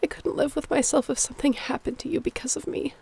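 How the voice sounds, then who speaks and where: A woman's voice: high-pitched, fairly even intonation, quick pace, gravelly, warm tone. A woman playing a sick, protective, selfless apocalypse survivor in an audio roleplay, weary, earnest and pleading. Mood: anxious, tender.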